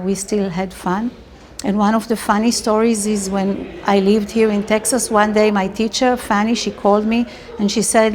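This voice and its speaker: Woman, middle-aged, Israeli accent, mid-pitched voice, casual interview storytelling, faint ambient noise behind. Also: darkish tone.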